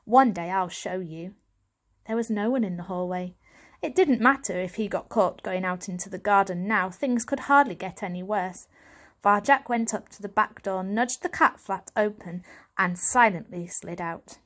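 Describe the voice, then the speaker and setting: A woman's voice: mid-range voice, normal speed, a bit bright. A woman reading a children's story aloud as scripted audiobook narration. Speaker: audiobook reader.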